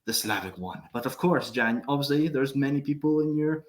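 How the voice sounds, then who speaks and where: Male podcast host, animated pitch, chummy and upbeat, casual interview. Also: brisk. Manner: chummy, upbeat hype-man intros.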